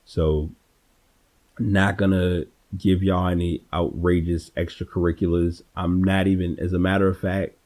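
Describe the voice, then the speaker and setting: A man hosting a solo podcast, speaking in a casual, reflective, unscripted way, often halting and repeating words, with a husky voice. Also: low voice, flat delivery, darkish tone. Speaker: podcast host.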